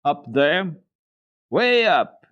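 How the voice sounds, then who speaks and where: Man playing a bossy young goatherd boy, high-pitched. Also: big pitch swings, drawn-out words, lo-fi sound, heavily acted. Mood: urging. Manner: scolding, calling out, exclaiming.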